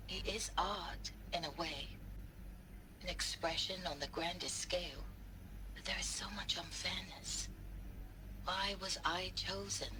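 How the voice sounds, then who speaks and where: An actress playing a solemn, conflicted art historian in a game audio log, speaking in a halting, reflective way. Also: female voice, on the low side, noisy background. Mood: troubled, wondering.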